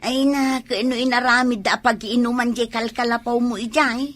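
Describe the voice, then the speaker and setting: A woman's voice: on the high side, fairly even intonation, normal speed, a bit bright, no echo. A woman with a Filipino Ilocano accent playing a teasing, gossipy village wife in an amateur comedy drama.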